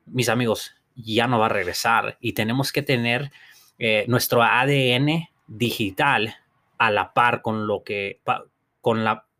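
A man's voice: medium pitch, bright, forceful tone. A man hosting a podcast, giving an earnest, encouraging motivational talk in a language that is not his first, often restarting and restating his points. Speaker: podcast host.

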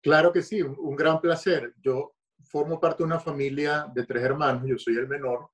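A man from Venezuela with a Venezuelan accent, a guest author being interviewed at home, talking in a relaxed, hesitant way. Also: on the high side, normal speed. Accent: Venezuelan Spanish. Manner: relaxed, hesitant, with many fillers and restarts. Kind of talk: podcast interview.

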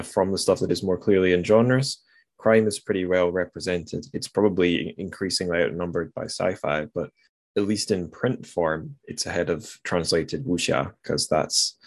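A man's voice: low-pitched, no echo. A man hosting a casual podcast discussion, speaking in a tentative way and often qualifying what he says. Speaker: podcast host.

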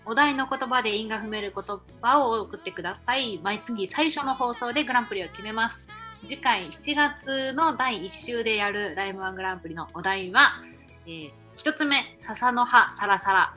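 A woman's voice: on the high side, normal speed, sharp tone, soft background music. A woman co-hosting a radio-style podcast, chatting casually and reading out show announcements.

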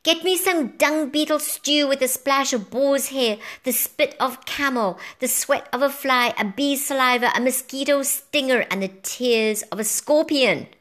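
Storybook witch queen, female reader in character: tyrannical, cackling, high shrill commands, wicked and domineering. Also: a little slow, few pauses, fairly bright tone. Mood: commanding, shrill. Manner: shrieking, commanding.

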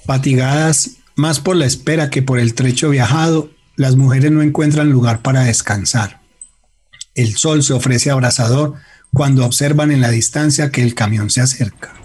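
Narrador de radionovela, voz algo velada. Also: male voice, medium pitch, a little mellow, husky. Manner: measured, literary, dramatic. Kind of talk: scripted radio drama narration.

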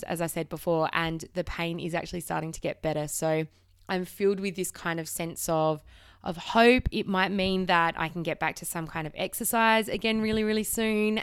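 A woman's voice: lowish voice, fairly even intonation, moderate pace, smooth, dry recording, studio quality. A woman in her thirties hosting her own podcast, talking frankly and openly with casual swearing and frequent restarts, while she has a slight cold. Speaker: podcast host. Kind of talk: candid solo podcast chat.

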